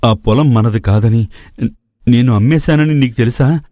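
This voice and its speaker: A man's voice: medium pitch, normal speed, warm tone, no room sound, clean studio sound, natural voice. A man playing an anxious, loving village farmer father, speaking hesitantly in a dramatized moral story. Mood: agitated.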